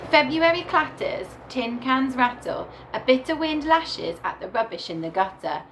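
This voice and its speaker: A woman teacher reading a picture book aloud to young children in a deliberate, animated storytelling way. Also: high-pitched, a little hiss or hum, rough recording. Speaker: primary school teacher reading to her class.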